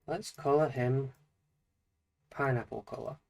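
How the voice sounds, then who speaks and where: A Northern English man chatting on a drawing livestream, his voice croaky and a bit deeper than usual after a slight hangover. He sounds laid-back and easygoing, chuckling between remarks as he draws. His pitch sits in a plain middle range. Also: unhurried, rough recording. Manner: relaxed, chatty, laughs often. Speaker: streamer. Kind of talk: casual livestream chat while drawing.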